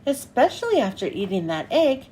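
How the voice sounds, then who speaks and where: A woman voicing a slow, dim-witted male starfish with a dopey manner. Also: on the high side, sing-song, dark tone, poor audio.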